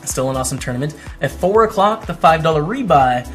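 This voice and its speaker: A man's voice: a little high, lively intonation, fast, fairly bright tone, soft background music. A man hosting a casual vlog, reading written posts aloud and adding wry, chatty asides as he goes. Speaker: host, poker streamer. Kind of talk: casual commentary while reading old blog posts aloud.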